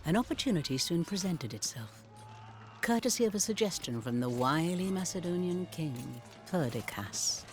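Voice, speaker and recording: A man's voice: on the high side, lively intonation, moderate pace, over music, natural voice. A man narrating a historical strategy game as a dramatic storyteller of ancient campaigns. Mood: measured storytelling. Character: male storyteller recounting ancient Spartan campaigns.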